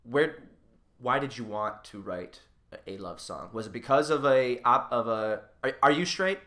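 A male podcast host with a mid-pitched, bright, forceful voice. He chats in an unscripted way, halting and circling back on his words as he builds up to a question. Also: expressive. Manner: halting, rambling, repeats words while forming questions. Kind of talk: casual, unscripted interview chat.

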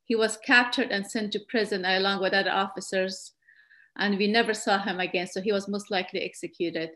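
A middle-aged woman from Afghanistan presents her slides over a webcam call. She talks in an earnest, measured way and often stops to restart a sentence. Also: medium pitch, fairly even intonation, bright, forceful tone. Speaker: guest presenter. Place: indoor room at home. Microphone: webcam on a video call.

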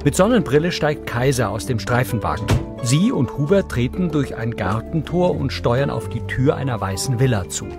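A man's voice: medium pitch, lively intonation, moderate pace, over loud music. A man reading scripted audio description, narrating scenes plainly.

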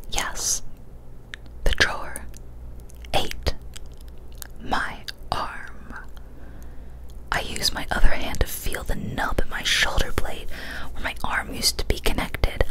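A young woman whispers throughout, soft and breathy. She reads a spooky tale as ASMR, unhurried, with careful pauses between phrases. Her mouth is close to a studio condenser microphone. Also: poor audio. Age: young adult. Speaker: ASMR storyteller. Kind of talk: whispered ASMR horror story reading. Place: small decorated indoor room. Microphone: large studio condenser mic, up close.

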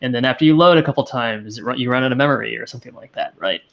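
A man with a slightly congested, sniffly voice talks shop over a video call, his headset audio a bit dull and lo-fi. He thinks out loud, trailing off and restarting sentences as he works through ideas. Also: mid-range voice, rapid-fire, vocal fry. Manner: thoughtful, hesitant, many restarts. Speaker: co-host of a game dev stream. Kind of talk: casual technical chat.

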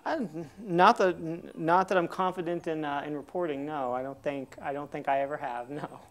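A man's voice: a little high, moderate pace. A man in his thirties clumsily baking in a home kitchen, nervous and self-mocking, laughing often, picked up by a camera mic a few meters away. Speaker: comedy co-host learning to bake. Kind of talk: joking cooking show banter.

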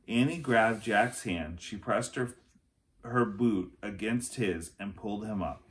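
A man, reading a children's book aloud as expressive narration. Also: on the low side, takes it slowly. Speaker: read-aloud storyteller. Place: small furnished room at home, indoors.

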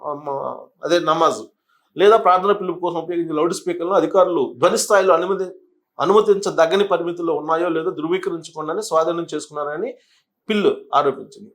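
A man's voice: a little high, quick pace, a bit bright. A man giving explanatory news commentary, partly reading aloud, addressing viewers didactically and sometimes stumbling over and repeating words.

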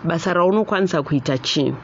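A woman's voice: lowish voice, fast talker. A middle-aged woman with a Zimbabwean accent hosts a radio talk show. She moves between Shona and English in a live call-in style. The studio sound is a little dull, with faint noise underneath. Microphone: studio microphone on boom arm.

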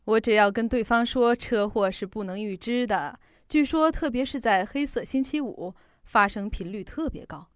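A woman's voice: a little high, moderate pace, few pauses, dry recording, natural voice. Woman acting middle-aged mother, radio drama, chatty, protective, fretful, dry studio sound. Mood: chatty, matter-of-fact. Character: fussy, protective middle-aged working mother, insurance saleswoman.

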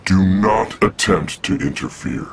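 An actor playing a proud, brooding, godlike cosmic smith in a video game cutscene, grave and imperious. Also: male voice, low voice, measured pace, a little hiss or hum. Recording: music behind the voice. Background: music.

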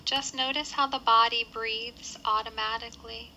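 A woman guides a body scan meditation in a slightly high, very bright voice. Her phrasing is measured and gentle, giving simple instructions with pauses between them. There is faint noise in the background of the recording. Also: fairly even intonation, low-quality recording.

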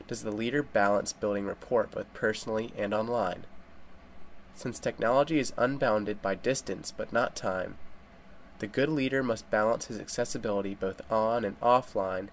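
Male video narrator, lowish voice, scripted read, faint noise behind. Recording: noisy voice-over with background ambience.

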